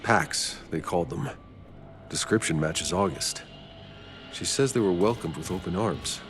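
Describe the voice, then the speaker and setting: An actor playing a gruff soldier exploring an alien world in a video game cutscene. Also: male voice, deep voice, unhurried. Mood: grave, intent. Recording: dramatic music behind the voice.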